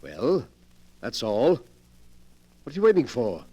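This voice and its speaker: An actor playing a sly, imperious wealthy collector of grim relics in a 1940s radio drama. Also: male voice, medium pitch, wildly swooping pitch, dark tone, husky, full character voice. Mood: irritated, menacing. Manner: imperious, deliberate, ominous. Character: sly, wealthy wheelchair-bound male collector of murder relics. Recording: old 1940s radio broadcast.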